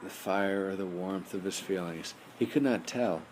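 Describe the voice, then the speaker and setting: Male storybook narrator, lowish voice, measured bedtime read, amateur home recording with some noise. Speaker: audiobook narrator.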